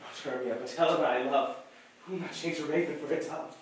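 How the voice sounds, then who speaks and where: A man's voice: fairly high pitch, quick pace, slight background noise, natural voice. A man playing an ardent, impulsive young lover, declaiming stage verse. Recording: echoey stage, distant mic.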